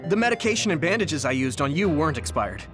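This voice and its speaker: A man playing a friendly, easily flustered young soldier in an English anime game, expressive and boyish. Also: fairly high pitch, fast, music in the background. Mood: open, casual.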